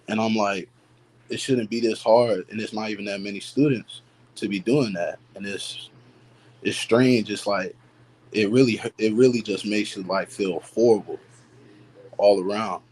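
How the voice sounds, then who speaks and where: Young male student guest, slightly low voice, hesitant and filler-heavy. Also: steady tone, unhurried, darkish tone, a little hiss or hum, low-quality recording. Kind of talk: casual chat.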